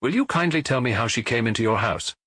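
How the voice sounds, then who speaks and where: A man's voice: medium pitch, expressive, fast, fairly bright tone, no echo. A man playing a formal police inspector who questions witnesses politely but insistently, in an audiobook. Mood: polite, firm.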